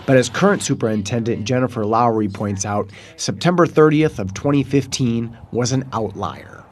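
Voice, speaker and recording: A man reading a news package voice-over. His delivery is measured and even, in a mid-range voice. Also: mid-range voice. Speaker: news reporter.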